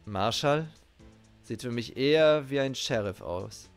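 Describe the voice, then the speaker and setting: A man's voice: mid-range voice, lively intonation, measured pace. A middle-aged man doing let's play commentary and reading game dialogue aloud. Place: indoors at a streaming setup. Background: game music.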